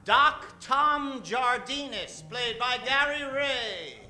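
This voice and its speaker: A man's voice: very high voice, lively intonation, extremely slow, noisy background. A man narrating theatrically as the announcer of an old-time radio western, dramatic and emphatic, drawing out names. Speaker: announcer introducing a radio play cast. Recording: music behind the voice. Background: music.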